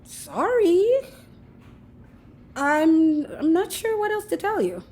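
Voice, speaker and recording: A woman's voice: extremely high pitch, big pitch swings, slow, darkish tone, full character voice. A woman playing a sardonic, amused, slangy young woman in an audio drama, teasing and unapologetic in a natural, casual way. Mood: firm.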